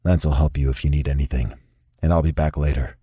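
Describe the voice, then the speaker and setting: This is a man doing a laid-back young man in an audiobook. The voice sits low and unhurried. His manner is gentle and easy. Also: steady tone, husky, no room sound, studio quality. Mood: easygoing.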